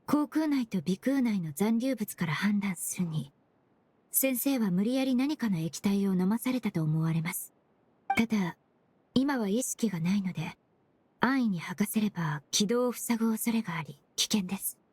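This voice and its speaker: A woman's voice: mid-range voice, expressive, moderate pace, darkish tone, breathy edge. An actress in a Japanese game dub playing a composed, analytical young woman investigator who speaks politely and methodically. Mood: calm, businesslike.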